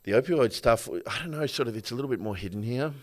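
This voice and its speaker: A man hosting a casual podcast interview, chatty and relaxed, laughing easily. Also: medium pitch, fast. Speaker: host.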